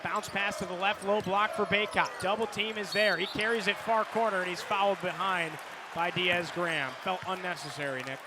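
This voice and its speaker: Man, American accent, high voice, radio play-by-play announcer, clipped basketball calls, loud arena noise behind. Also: normal speed. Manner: clipped phrases, builds to excited calls on big plays. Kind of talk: live basketball commentary. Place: courtside in a large indoor arena. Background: loud arena noise, bouncing basketball.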